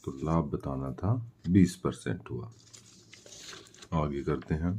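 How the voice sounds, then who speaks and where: Very deep-voiced man teaching. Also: slow pace, gravelly, dark tone, a little hiss or hum. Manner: explanatory, poses questions and answers them himself. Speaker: maths teacher. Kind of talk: step-by-step worked-solution lesson.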